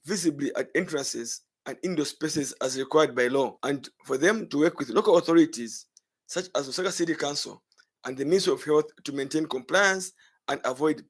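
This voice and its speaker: A Zambian man speaks in a fairly high voice. He delivers a prepared statement as a spokesman for an association, in formal sentences. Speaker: health association coordinator.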